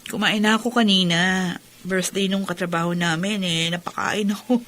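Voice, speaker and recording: A woman's voice: mid-range voice, fairly even intonation, moderate pace, a bit bright, lightly acted. A woman playing a weary, guarded elder sister and widowed mother in a radio drama. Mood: tired, casual. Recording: music clearly behind the voice.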